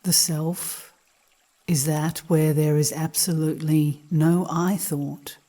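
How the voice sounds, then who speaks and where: A woman reading spiritual teachings as a guided meditation, in a calm, measured and contemplative manner. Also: sits low.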